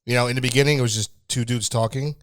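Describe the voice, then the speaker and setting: A man co-hosting a casual basement podcast, joking, excitable and freely swearing. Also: medium pitch, rapid-fire, no room sound. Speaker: returning co-host. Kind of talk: casual chat. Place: basement studio. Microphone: studio microphone.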